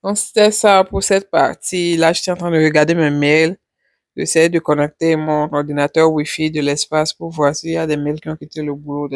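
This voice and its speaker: A woman's voice: low voice, flat delivery, moderate pace, dry recording, clean studio sound. A woman vlogger chatting casually over her travel footage, rambling and repeating her words.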